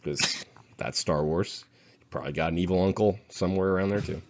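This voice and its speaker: A man's voice: sits low, fairly quick. A man co-hosting a podcast in casual, rambling, joking banter. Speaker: podcast co-host. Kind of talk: casual chat.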